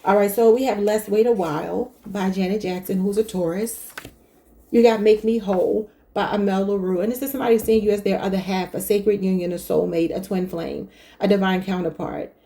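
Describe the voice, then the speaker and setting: A woman reads tarot cards and chats straight to her viewers. Her voice is mellow and warm, at a middle pitch. She talks loosely and thinks out loud. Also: steady tone, a little fast. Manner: chatty, thinking aloud, addresses viewers as y'all. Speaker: tarot reader. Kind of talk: casual tarot reading to camera.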